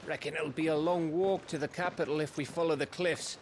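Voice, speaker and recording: A man playing a breezy, rough-and-ready scout in a cinematic video game, with a colloquial, easygoing way of talking. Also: a little high, fairly even intonation, brisk, a little hiss or hum, lightly acted. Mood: casual.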